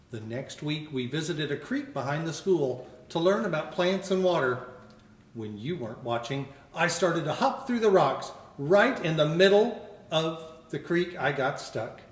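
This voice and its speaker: An older man, a school principal, reads a children's picture book aloud with expressive storytelling. His voice sits fairly high for a man, and it echoes in a roomy space. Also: measured pace, far from the mic. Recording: roomy echo.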